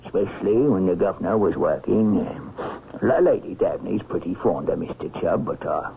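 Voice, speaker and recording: An actor playing a gruff, plain-spoken sea captain with a gravelly voice and halting, folksy speech in a vintage radio drama. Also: male voice, sits low. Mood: hesitant, guarded. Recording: muffled, noisy old radio recording.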